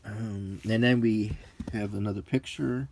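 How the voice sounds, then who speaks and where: Slow, low-voiced middle-aged man, musing. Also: fairly even intonation, vocal fry, darkish tone, a little hiss or hum. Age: 40s to 50s. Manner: hesitant, musing, reminiscing. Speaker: host of a hobby video. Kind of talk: unintentional ASMR, casual unscripted book review.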